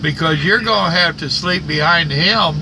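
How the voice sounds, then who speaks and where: An older man with a fairly high voice gives casual advice as a trucker hosting an interview. He is instructive and agreeable, and vehicle noise is clearly heard behind him. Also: normal speed. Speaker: interviewer, veteran trucker mentor.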